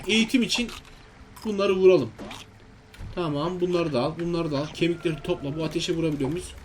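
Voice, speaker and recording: A man giving casual, chatty, exclamatory let's-play commentary to his viewers, often asking himself questions. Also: high-pitched. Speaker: gaming video host.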